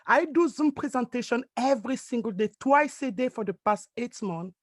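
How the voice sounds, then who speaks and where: Cameroonian-born woman giving an emphatic pitch. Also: animated, moderate pace, no room sound, studio quality. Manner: earnest, emphatic, non-native phrasing. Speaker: network marketing leader. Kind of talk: motivational speech on a video call. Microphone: video call.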